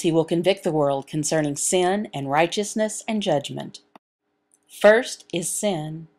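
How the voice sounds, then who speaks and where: A woman reading a sermon and scripture aloud as a voice interpreter, in measured, halting short phrases. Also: on the low side, a little mellow.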